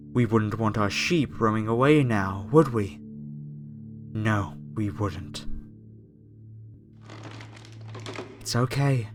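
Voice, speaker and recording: A man playing a menacing, reverent cult fanatic in a horror ASMR roleplay, speaking to a captive in a theatrical, falsely soothing way. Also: on the low side. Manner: slow, theatrical, preacher-like, softly coaxing. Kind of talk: horror ASMR roleplay, scripted monologue to the listener. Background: faint music.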